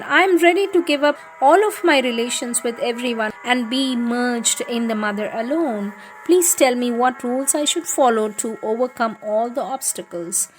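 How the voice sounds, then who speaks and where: Higher-pitched woman reading spiritual teachings over music. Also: low-quality recording. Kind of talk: devotional reading aloud of letters, questions and answers. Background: music in the background.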